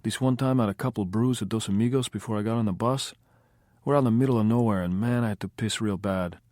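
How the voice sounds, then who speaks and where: A man voicing a cocky, blunt small male drummer, telling rough stories. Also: lowish voice, fast, a little mellow, dry recording, studio quality, pitched above the performer's own voice, close to an everyday voice.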